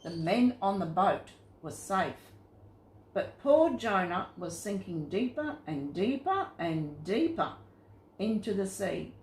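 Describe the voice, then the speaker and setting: A woman reading scripted Bible stories to children in a simple, expressive storytelling manner. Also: medium pitch, slow pace, slight background noise. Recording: roomy, echoing sound.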